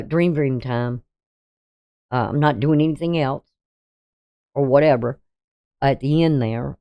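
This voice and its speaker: A sixty-something astrologer talks through the day's chart in a loose, meandering way, trailing off and restarting often. The voice sits at a middling pitch. The recording sounds dull and lo-fi. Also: smooth. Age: 60s. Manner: rambling, hesitant, with frequent ums and restarts. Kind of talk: casual daily astrology reading over a chart.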